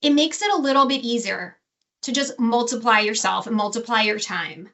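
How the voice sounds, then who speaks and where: A woman's voice: on the high side, normal speed, sharp tone. A woman professor giving a casual, enthusiastic presentation with slides, often restarting and correcting herself. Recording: distant from the mic.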